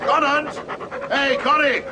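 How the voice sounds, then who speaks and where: Male camp runner actor, shrill impatient calling, extremely noisy outdoor radio-drama scene. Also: steady tone, slow. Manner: urgent calling out. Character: impatient male messenger shouting across a polar camp. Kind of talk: audio drama acting.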